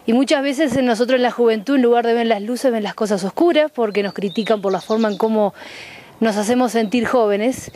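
A woman's voice: on the high side, brisk, dark tone, a little hiss or hum. A young woman with a Uruguayan accent giving an informal motivational greeting outdoors. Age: young adult. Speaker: youth ministry delegate. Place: outdoors in a garden courtyard.

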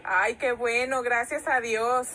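A woman's voice: on the high side, fairly even intonation, slow pace, sharp tone, a little hiss or hum. A woman vlogger filming on a residential sidewalk and chatting with a neighbor, agreeing with short replies. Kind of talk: casual chat.

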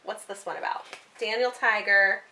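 A woman's voice: medium pitch, fairly even intonation, normal speed, sharp tone, a little hiss or hum, rough recording. A woman in her thirties chatting casually with a small child, encouraging and often repeating the child's words back. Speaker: book vlogger and mother hosting with her young child. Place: small book-lined room indoors.

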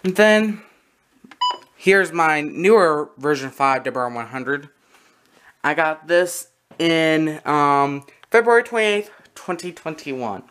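A person giving a casual, halting, matter-of-fact show-and-tell narration, recorded on a handheld camera in a room at home. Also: medium pitch, animated, fairly bright tone, clear, resonant tone. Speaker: video creator showing a radio collection. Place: small room at home, indoors. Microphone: handheld camera close by.

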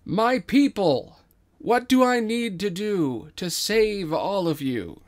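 A man's voice: high voice, animated, slow pace, voice pushed up. A man voicing a scummy schemer who poses as a regal king in a storytelling podcast, declaiming grandly. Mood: grandiose. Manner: grandiose, declamatory when addressing subjects. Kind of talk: dramatized script reading.

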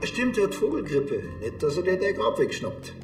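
Dry Bavarian cop, echoey sound. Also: male voice, high-pitched, big pitch swings, moderate pace, over music. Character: dry, sarcastic, impatient Bavarian village policeman. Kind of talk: TV crime comedy acting.

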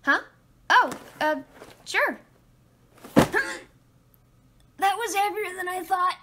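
A woman's voice: very high-pitched, takes it slowly, heavy background noise. A young woman voicing a teenage girl in a comic dub, dreamy and giggly, easily flustered. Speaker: voice actor.

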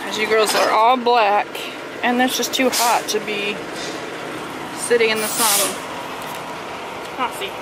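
A woman's voice: fairly even intonation, slow pace, long pauses. A woman in her thirties chatting casually to a handheld camera as a truck driver vlogger, often talking to her dogs. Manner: chatty, talks to her dogs in a high coaxing voice. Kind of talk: casual vlog chat. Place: outdoors by truck lots and in a truck cab. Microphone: handheld camera at arm's length. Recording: loud outdoor wind and traffic noise. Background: vehicle and outdoor noise.

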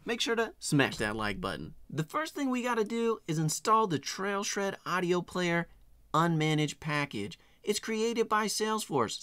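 A middle-aged man hosting a casual screen-share software tutorial in a tongue-in-cheek, playfully exaggerated way. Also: high voice, smooth, no echo. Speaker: tutorial host.

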